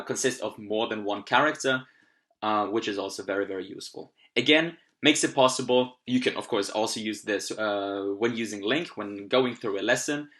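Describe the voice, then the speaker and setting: A young man from Austria, a German native speaker with an Austrian German accent, gives an upbeat screen-recorded tutorial on language-learning software. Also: lowish voice. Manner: upbeat, explanatory, occasional fillers.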